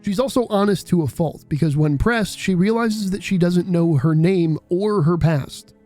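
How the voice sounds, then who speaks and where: A man's voice: sits high, normal speed, darkish tone, faint music behind. A man reading scripted video essay narration in a wry, casual way.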